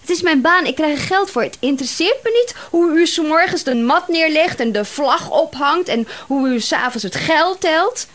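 High-pitched, flustered young woman detective. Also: lively intonation, normal speed, few pauses, fairly bright tone. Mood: indignant. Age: young adult. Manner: chatty, apologetic, exclaiming. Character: flustered, chatty female private detective around thirty, indignant under pressure. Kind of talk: Dutch radio drama acting.

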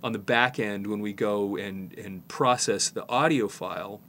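A man in his fifties with a slight head cold, presenting a casual hands-on repair tutorial in an informal, halting way as he works. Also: on the low side, a bit bright, a little hiss or hum. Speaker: presenter, tape repair technician.

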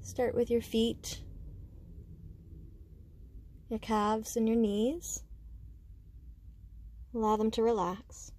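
Mellow, gentle woman guiding meditation. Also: fairly high pitch, flat delivery. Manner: gentle, unhurried, pausing between short phrases. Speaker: meditation guide. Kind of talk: guided meditation, slow soothing instruction.

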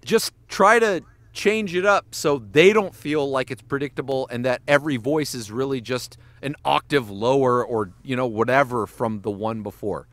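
A man's voice: medium pitch, moderate pace, fairly bright tone, no echo. A man, a voice actor and animator, giving casual podcast advice, swearing freely and breaking into voice demonstrations.

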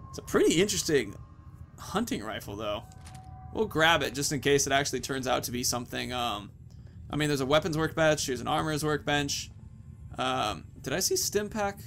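Male gaming host, 30s, slightly high voice. Informal, rambling, thinking-aloud delivery over music. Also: expressive, normal speed, fairly bright tone. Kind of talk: casual let's-play commentary.

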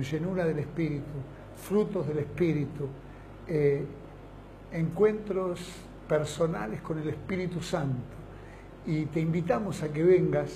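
Middle-aged argentine pastor inviting ppl to church, fervent listy delivery, kinda high but mellow voice, faint hiss behind. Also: male voice, poor audio. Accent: Argentine Spanish. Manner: fervent, emphatic preaching in long lists. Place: small indoor studio set, seated.

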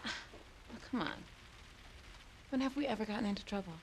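A woman's voice: medium pitch, a little fast, a little hiss or hum, close to an everyday voice, low-quality recording. A woman acting a bold, teasing teenage rebel in a video game, with an American accent and a casual, coaxing way of talking.

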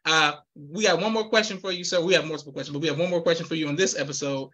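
A man's voice: a little high, rapid-fire, sharp tone, breathy edge. A young man hosting a podcast interview over a video call, upbeat and affirming, with formal thank-yous. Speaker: podcast host. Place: indoor room with posters. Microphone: video call, webcam.

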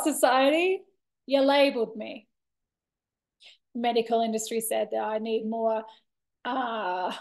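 Woman, thirties, high voice, unhurried, candid personal monologue, expressive emphasis. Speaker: vlogger sharing a personal story. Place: bright indoor room with large windows. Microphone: laptop webcam at desk distance.

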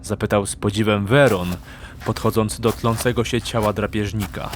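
A man's voice: lowish voice, fairly even intonation, moderate pace, a little hiss or hum. A man narrating a fantasy audiobook in a dramatic, scripted storytelling style.

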